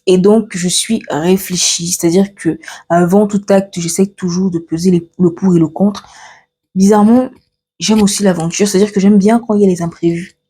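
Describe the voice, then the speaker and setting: Husky, mellow-voiced young woman chatting. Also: on the low side, fairly even intonation, moderate pace. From Cameroon. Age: 20s. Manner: chatty, many fillers and restarts, reads from notes. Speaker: vlogger. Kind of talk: casual get-to-know-me chat.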